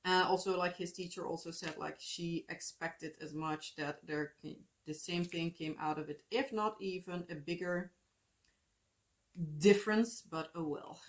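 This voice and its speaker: A woman's voice: fairly low pitch, fairly even intonation, unhurried. Chatty Dutch-accented woman rambling. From Netherlands. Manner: chatty, meandering, many fillers and asides. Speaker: crafting vlogger. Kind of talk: casual rambling chat. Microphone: phone.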